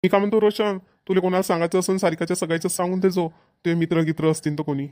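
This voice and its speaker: A chatty middle-aged village father from a cartoon comedy, acted by a man, practical and a little bossy. He speaks rapidly in a fairly high voice with a Varhadi Marathi accent, repeating himself for emphasis. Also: level tone, darkish tone, no room sound, studio quality, natural voice. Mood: agreeable.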